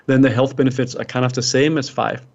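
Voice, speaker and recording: Danish male guest, native Danish, mid pitch, earnest coaching tone, muffled recording. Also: fairly even intonation, fast, darkish tone. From Denmark. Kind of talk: interview answers. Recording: dull lo-fi sound.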